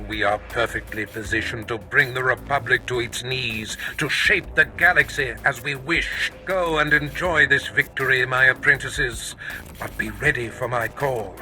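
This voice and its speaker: A man's voice: medium pitch, a little slow, sharp tone, soft background music. A man playing a grandiose, scheming Sith master in a video game, speaking with pompous, commanding deliberation. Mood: gloating. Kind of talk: dramatic video game acting.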